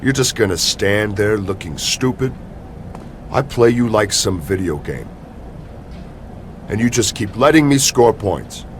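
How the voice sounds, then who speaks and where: A man's voice: lowish voice, expressive, measured pace, pauses often, slight background noise. A man playing an arrogant, scheming wrestling champion who taunts and mocks theatrically in a video game cutscene. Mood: smug taunting. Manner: smug, mocking, theatrical.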